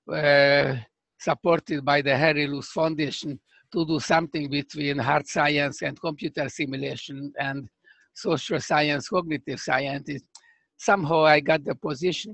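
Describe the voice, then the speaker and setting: Halting older man with Hungarian accent. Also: fairly high pitch, gravelly, fairly bright tone, no echo. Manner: halting, searching for words, many restarts. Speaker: professor guest. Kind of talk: remote interview conversation.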